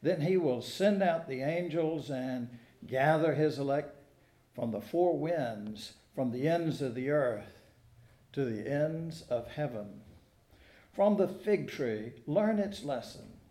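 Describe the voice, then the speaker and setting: A man preaching a sermon and reading scripture in a measured, explanatory way, with deliberate phrasing. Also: a little high, lively intonation, mellow. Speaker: preacher at a church service. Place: indoors in a church. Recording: roomy, echoing church sound.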